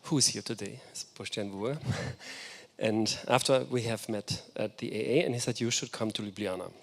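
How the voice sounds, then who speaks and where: A man's voice: fairly low pitch, moderate pace, creaky. A man whose first language is German, giving an enthusiastic, reflective architecture lecture. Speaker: guest lecturer.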